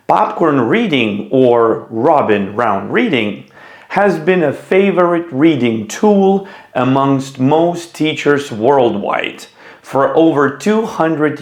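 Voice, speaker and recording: Male teacher for a scripted explainer: slow, deliberate, slightly gravelly, echoey room. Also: medium pitch, lively intonation. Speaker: teacher presenting an educational video.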